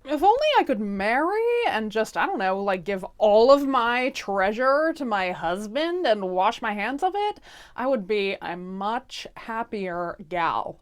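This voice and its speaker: A woman's voice: on the high side, big pitch swings, measured pace. A woman voicing a spoiled, greedy, vindictive rich duchess in a theatrical, whiny, demanding way for a comic fairy tale. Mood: coy, wheedling.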